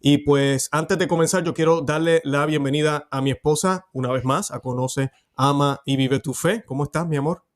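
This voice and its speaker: A man's voice: fairly high pitch, steady tone, normal speed, hardly any pauses. Upbeat man hosting a faith show. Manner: warm, affectionate and upbeat, with occasional fillers. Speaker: host. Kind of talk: religious interview show hosting.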